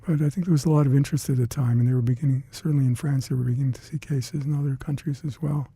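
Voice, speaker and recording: Older male interviewee whose first language is Hungarian: gravelly, husky, reflective. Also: medium pitch, steady tone, warm tone, no room sound. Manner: halting, reflective, many fillers and restarts. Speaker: interviewee, retired scientist. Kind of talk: oral history interview.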